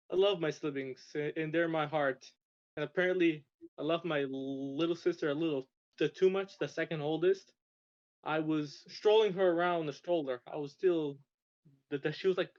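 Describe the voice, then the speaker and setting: A man's voice: on the high side, fairly even intonation, moderate pace. A young man from Russia telling stories as a podcast guest, rambling with frequent restarts and stammers. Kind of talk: casual storytelling. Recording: dull lo-fi sound.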